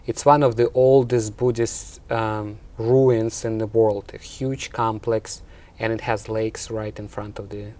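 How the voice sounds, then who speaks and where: A man's voice: lowish voice, little pitch movement, unhurried, darkish tone. A man from Russia with a Russian accent, answering interview questions in an explanatory way, as a college instructor. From Russia and Ukraine.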